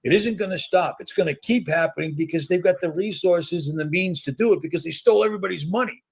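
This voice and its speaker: Husky ranting man streaming live. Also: high voice, fast talker. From United States. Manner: ranting, conspiratorial, repetitive. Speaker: host. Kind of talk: live reaction stream monologue.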